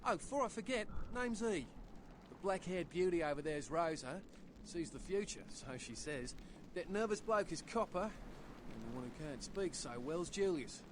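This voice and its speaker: A man's voice: high-pitched, expressive, normal speed. An actor voicing a casual, colloquial male vampire in a video game, protective of his misfit friends. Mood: friendly, confiding. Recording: distant game audio under loud ambient noise.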